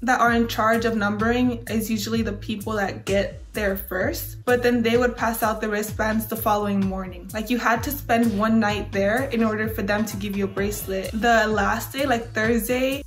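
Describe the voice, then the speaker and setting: A young woman from Los Angeles, chatty, telling her story in a sit-down interview indoors. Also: on the high side. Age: young adult. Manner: chatty, says "like" a lot. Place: small room indoors.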